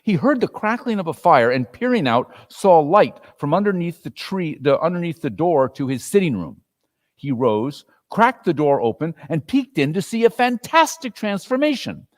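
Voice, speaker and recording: A man's voice: fairly high pitch, very animated, normal speed, big-room sound. A man narrating a classic story as a dramatic reader.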